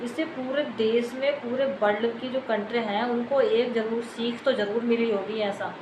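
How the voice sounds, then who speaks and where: A woman's voice: fairly high pitch, fairly even intonation, normal speed. A woman with an Indian accent giving unscripted news commentary, explaining things to viewers in a wordy, repetitive way. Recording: echoey room, clear background noise.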